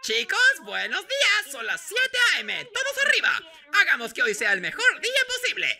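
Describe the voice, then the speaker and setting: Shrill, gleeful robot bear mascot. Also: male voice, huge pitch swings, moderate pace, vocal fry, bright, forceful tone, exaggerated voice. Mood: mock cheerful. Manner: theatrical, mock-polite announcing.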